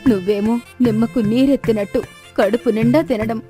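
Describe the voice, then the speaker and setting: నటి పోషించిన దిగులుపడే పల్లెటూరి తల్లి, కాస్త హెచ్చు స్వరం. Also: female voice, a little high, fairly even intonation, fairly quick, warm tone, soft background music, lightly acted. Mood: lamenting. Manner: plaintive, rustic colloquial speech. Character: worried, lamenting village widow, mother of grown son. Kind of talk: dramatized moral story.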